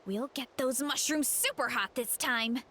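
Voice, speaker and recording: A woman voicing an earnest, gentle young heroine in a video game, sweet and sincere. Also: sits high, measured pace, a little hiss or hum. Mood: eager. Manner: sweet, sincere, eager, battle cries. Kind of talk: English video game voice acting.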